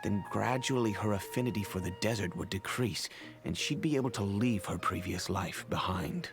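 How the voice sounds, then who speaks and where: An actor playing an earnest, remorseful, wealthy middle-aged father in a video game, speaking in a measured, formal way. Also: on the low side, music in the background, close to an everyday voice. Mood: explanatory. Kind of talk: video game character acting.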